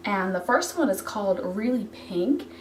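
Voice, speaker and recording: A hoarse woman with a cold talks through a product review in a loose, chatty way, full of ums and small restarts. Her voice sits at a middle pitch and comes from a little distance away. The room adds a noticeable echo with a faint hiss underneath. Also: animated, moderate pace. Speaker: beauty vlogger.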